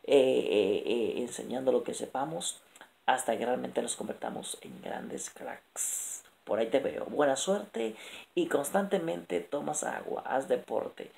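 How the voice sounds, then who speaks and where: A man in his thirties talks into a camera held close in a small room at night. His voice is deeply gravelly, sits at an ordinary pitch, and drives a lively, repetitive motivational pitch. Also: measured pace. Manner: energetic, gesturing a lot, repetitive lists. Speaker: author and motivational speaker. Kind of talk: late-night motivational vlog.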